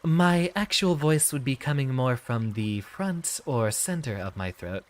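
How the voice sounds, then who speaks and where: A man speaks in a cultivated, professorial streaming voice with a light gravelly fry on the vowels. His cadence is musical and softly feminine, rising and falling widely. Sentences are formal and deliberate, settling downward as they close. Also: mid-range voice, animated. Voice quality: voice placed at back of throat, raised above natural register. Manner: sentences swoop up and down, dropping at the end. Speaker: game streamer. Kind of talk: livestream commentary in a practiced professor persona.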